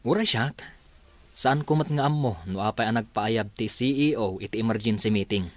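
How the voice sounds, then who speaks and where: A man's voice: lowish voice, moderate pace, lightly acted. An Ilocano-accented man playing the boss's skeptical grown son, a manager, in a radio drama. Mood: puzzled. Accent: Filipino (Ilocano).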